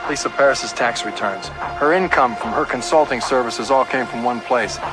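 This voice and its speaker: A man's voice: medium pitch, moderate pace, lightly acted. A man playing a terse, businesslike police detective in a crime audio drama, speaking in a clipped, matter-of-fact way. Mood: terse, factual. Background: loud music, outdoor and vehicle sounds.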